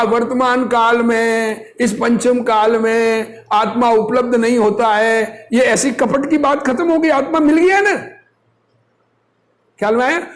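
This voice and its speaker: High-pitched man giving a slow religious discourse. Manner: didactic, asks rhetorical questions and answers them. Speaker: religious teacher reading and explaining scripture. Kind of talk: religious discourse, reading aloud with commentary.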